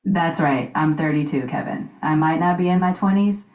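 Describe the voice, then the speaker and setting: A woman's voice: deep voice, moderate pace, darkish tone, reverberant, dull audio, close to an everyday voice. A woman voicing a confident single woman in her thirties who speaks in a self-assured, upbeat way. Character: confident single woman, 32, dating show guest.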